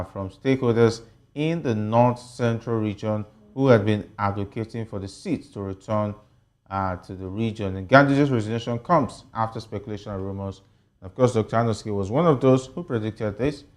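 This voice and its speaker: A man's voice: on the low side, moderate pace. A man with a Nigerian accent hosting a broadcast political panel discussion and reading news updates. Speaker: TV host of a political panel show.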